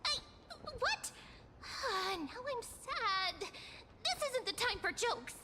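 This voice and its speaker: A woman voicing a sweet, timid and earnest girl adventurer in an anime-style game, speaking hesitantly, with a soft-hearted manner. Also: extremely high pitch, expressive, slow pace, very noisy, full character voice. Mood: alarmed.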